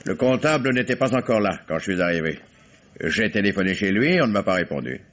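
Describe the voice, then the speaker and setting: An actor playing a stern, curt factory owner in an old French radio mystery, answering formally and drily. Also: male voice, fairly low pitch, lively intonation, fairly quick, fairly bright tone. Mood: curt, guarded. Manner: clipped, formal, dry. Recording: dull old radio drama recording.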